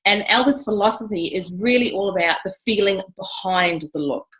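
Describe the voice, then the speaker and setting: A woman's voice: medium pitch, normal speed, a bit bright, low-quality recording. A woman hosting a casual video interview, enthusiastic and chatty, often dropping in "you know". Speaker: interview host.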